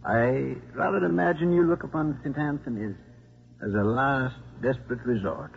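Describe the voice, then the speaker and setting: A man plays a kindly, earnest old priest in a vintage radio play. His voice is gravelly and courteous, with a hesitant delivery, on a muffled recording. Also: medium pitch, moderate pace, close to an everyday voice. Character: gentle, earnest old priest running struggling school. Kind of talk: 1950s radio drama acting.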